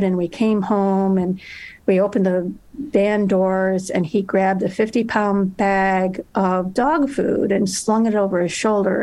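A woman's voice: medium pitch, a little mellow. A woman guest telling a story in casual chat, laughing often as she goes.

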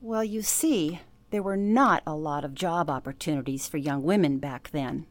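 An actress playing a composed, politely firm elderly former telephone operator in a radio drama, with measured, formal phrasing. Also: female voice, sits low, lightly acted. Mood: reflective. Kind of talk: radio drama interview acting.

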